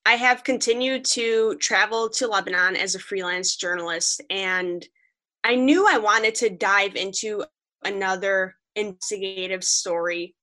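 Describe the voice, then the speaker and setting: Young woman guest, a reporter from upstate New York; earnest and vivid, a bright, forceful voice. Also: medium pitch, measured pace. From Western New York. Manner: earnest, vivid storytelling, quoting others. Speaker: freelance journalist guest. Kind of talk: podcast interview, storytelling.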